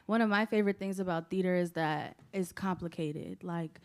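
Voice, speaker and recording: A woman's voice: lowish voice, moderate pace, a little mellow, clear, resonant tone. A woman moderating a post-show discussion in an appreciative, encouraging way as she addresses the panel and audience.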